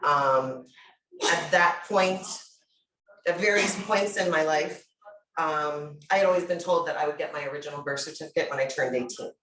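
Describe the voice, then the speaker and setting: A woman's voice: deep voice, moderate pace, fairly bright tone, far from the mic. A middle-aged woman from New York introducing herself as a workshop presenter, telling her story candidly and bluntly. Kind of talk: personal introduction at a conference panel. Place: conference room. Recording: echoey room, voice from afar.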